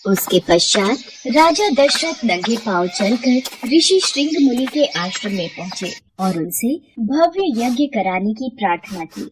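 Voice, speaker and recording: A woman storyteller narrates a scripted devotional tale. Her voice sits fairly high and moves with very lively intonation, over faint background noise. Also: measured pace, few pauses.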